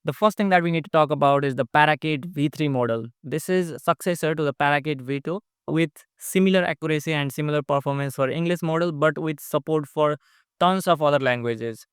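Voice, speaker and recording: A young man from Nepal, a developer casually walking through a software update in a small room. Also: fairly high pitch, a little fast, smooth, no echo, clean studio sound. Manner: plain, matter-of-fact explaining. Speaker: app developer. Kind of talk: casual screen-recorded product update.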